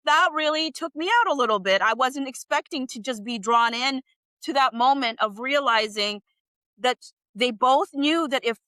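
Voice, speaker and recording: Bright-voiced, expressive woman. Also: high voice, normal speed, no room sound. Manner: emotional, expressive, earnest. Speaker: host reading a screenplay aloud and reacting. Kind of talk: dramatic script read-aloud with casual reactions.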